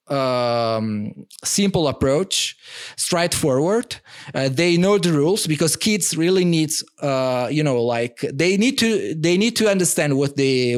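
An Italian-accented man in his thirties, his voice sitting slightly high for a man. He talks as a podcast guest, earnest and halting, full of fillers and restarts. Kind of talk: conversational interview answers.

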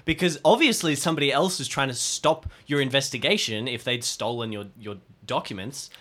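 A man voicing an excitable, argumentative male companion in an improvised audio drama. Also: medium pitch, fairly quick, lightly acted. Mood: indignant, defensive. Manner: animated, argumentative. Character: excitable, defiant male companion of a park ranger.

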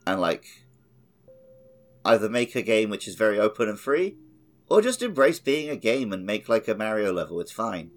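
A man's voice: on the low side, big pitch swings, normal speed. A man chatting casually as host of a gaming podcast, with a blunt, opinionated and sweary manner. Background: background music.

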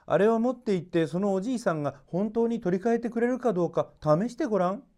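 A man's voice: high voice, quick pace, darkish tone, pitched above the performer's own voice, close to an everyday voice. A man voicing a curious young princess in a storybook, giving orders in a light, breezy way. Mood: curious, playful.

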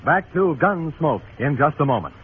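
A man announces in a theatrical old-time radio style. His voice is somewhat high and very lively in pitch, and the broadcast sounds thin and tinny. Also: moderate pace, over loud music. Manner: theatrical, formal.

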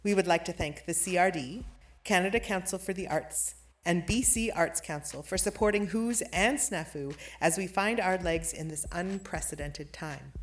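A woman's voice: on the low side, normal speed. A woman presenting a theatre podcast's credits, reading scripted thanks and announcements.

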